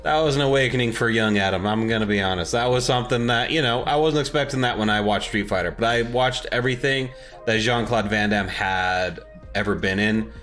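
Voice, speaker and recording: A man's voice: fairly low pitch, a little fast, a bit bright, faint music behind. A man co-hosting a podcast, chatting casually and enthusiastically about movies in an informal, opinionated way. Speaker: podcast co-host.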